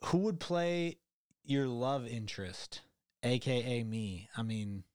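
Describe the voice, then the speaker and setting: Male podcast host, monotone, dry teasing delivery, close dry mic. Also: mid-range voice, takes it slowly, no echo. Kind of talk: casual chat.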